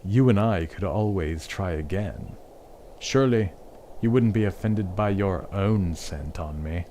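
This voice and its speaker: A man's voice: low-pitched, measured pace, warm tone, slight background noise. A man playing a smug, lecherous, scheming Duke in an audio drama, speaking with mock courtesy and sarcasm.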